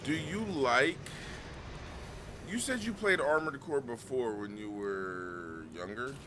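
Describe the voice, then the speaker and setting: A man in his thirties, a streamer chatting casually, joking and swearing through lively banter. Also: mid-range voice, slow pace, noisy background. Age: 30s. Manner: joking, profane, animated banter. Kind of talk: casual chat.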